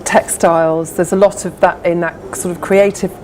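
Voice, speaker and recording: A woman's voice: fairly low pitch, fairly even intonation, moderate pace, darkish tone, slight background noise. A woman interviewee speaking enthusiastically, listing what she loves.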